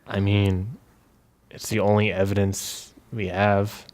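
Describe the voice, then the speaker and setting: A man playing Marco, an awkward, gently consoling friend in a tabletop game, speaking in a halting, tentative way. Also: fairly low pitch, flat delivery.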